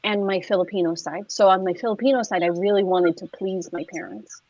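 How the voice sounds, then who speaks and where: A woman's voice: fairly low pitch, steady tone, a little fast, a little mellow, no echo. Woman, interview guest; loose, filler-heavy chat over a dull, dry remote line. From United States. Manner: chatty, full of "like" fillers and restarts. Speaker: guest, clinical social worker. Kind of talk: casual conversational interview. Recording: dry, slightly muffled lo-fi audio.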